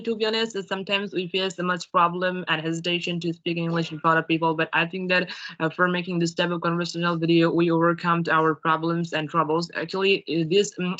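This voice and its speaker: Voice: medium pitch, sharp tone, muffled recording. A young interviewer whose first language is Bengali, politely asking questions in English with a formal, hesitant manner and many fillers. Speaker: co-host, English learner interviewer. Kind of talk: casual interview for conversation practice.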